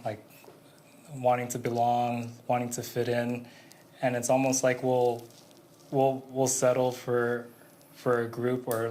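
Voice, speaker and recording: Flat-voiced young man interviewer. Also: mid-range voice. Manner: flat-voiced, frequent soft backchannels. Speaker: interviewer, podcast host. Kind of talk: casual interview.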